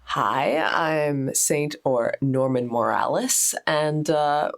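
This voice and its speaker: A woman's voice: sits low, drawn-out words, creaky. A woman casually introducing herself as a player in a tabletop game.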